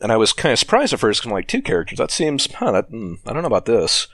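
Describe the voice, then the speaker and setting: A man's voice: medium pitch, wildly swooping pitch, quick pace, a bit bright, no room sound, clean studio sound. A man rambling through anecdotes as a podcast guest, chatty and laughing often. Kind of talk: casual podcast chat.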